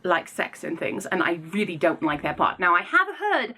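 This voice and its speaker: A woman's voice: fairly low pitch, big pitch swings, moderate pace, bright, forceful tone, slightly rough. A woman speaking casually and informally as a video host, effusive and opinionated in the way she reviews. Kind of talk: casual chatty book vlog.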